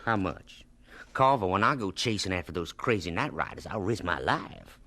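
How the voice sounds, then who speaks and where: An actor playing a cynical, hard-bargaining rural tracker who speaks bluntly and scoffingly in a radio drama. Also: male voice, low-pitched, expressive, moderate pace, bright, forceful tone, heavily acted. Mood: scornful, greedy.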